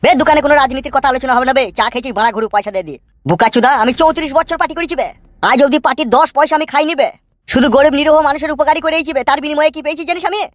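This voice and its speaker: A woman's voice: sits high, racing, no echo, muffled recording, lightly acted. A woman voicing an irritable older tea-stall keeper in a comic cartoon, scolding coarsely. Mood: irate, mocking. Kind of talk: comic cartoon dialogue in rural dialect.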